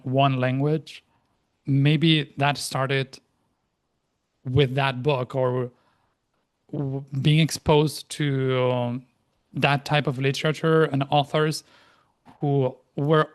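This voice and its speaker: Thirties guy from argentina, spanish is his first language, smooth clear voice, thinks out loud and restarts a lot. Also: medium pitch. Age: 30s. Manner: reflective, with frequent restarts and pauses. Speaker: interview guest, life and language coach. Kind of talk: casual interview conversation.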